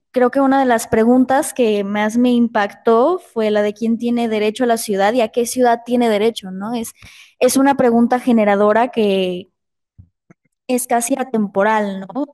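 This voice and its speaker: Una mujer con acento mexicano, del público, que agradece con entusiasmo la charla y formula una pregunta entre titubeos y repeticiones. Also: female voice, fairly high pitch, warm tone, dry recording. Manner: earnest, halting with repeated words. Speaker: audience member. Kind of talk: asking a question after a lecture.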